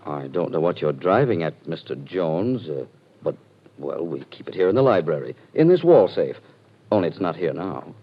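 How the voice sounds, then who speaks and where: A man's voice: deep voice, big pitch swings, normal speed, exaggerated voice. A man playing a sarcastic, stubborn wealthy young man in a vintage radio drama. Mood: sardonic. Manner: smooth, sarcastic, mocking politeness. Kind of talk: 1940s radio acting. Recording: dull old radio broadcast with faint hiss.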